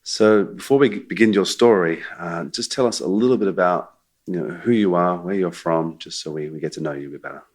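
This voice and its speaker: A man hosting an interview, welcoming his guest and gently prompting him with questions. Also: low-pitched, fairly quick. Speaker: interview host.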